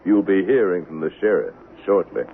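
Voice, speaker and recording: A vengeful Old West man in a vintage radio play, with a deep voice and a measured, sardonic, taunting manner. The sound is narrow and tinny, with some hiss. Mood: cold, smug. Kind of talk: 1970s radio drama acting.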